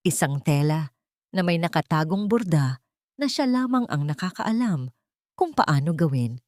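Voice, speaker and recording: A woman with a Filipino accent reads a dramatic story in a low register. The recording is dry, and her delivery is that of scripted audiobook storytelling. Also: expressive, measured pace, darkish tone, dry recording. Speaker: audiobook narrator.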